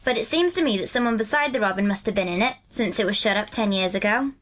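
A woman's voice: mid-range voice, lively intonation, fast talker, fairly bright tone, close to an everyday voice. A woman voicing a cheerful, earthy twelve-year-old country boy in a storybook, with a hearty, good-natured Yorkshire dialect. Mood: musing.

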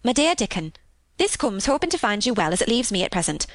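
Woman as a fast, chatty housemaid. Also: mid-range voice, big pitch swings, no echo, studio quality, close to an everyday voice. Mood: dictating cheerfully. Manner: homely dialect, brisk and chatty. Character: chatty, good-hearted young country housemaid.

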